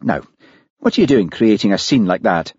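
A man voicing an exasperated but tolerant hotel manager in an audiobook story, firm and brisk. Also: on the low side, big pitch swings, darkish tone, husky, no room sound, muffled recording. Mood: stern.

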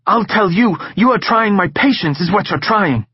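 A man voicing a scornful, shrill middle-aged woman who scolds her husband in a sharp, biting way, in an audiobook reading. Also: animated, normal speed, husky, dry recording, highs cut off, exaggerated voice. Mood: furious scolding.

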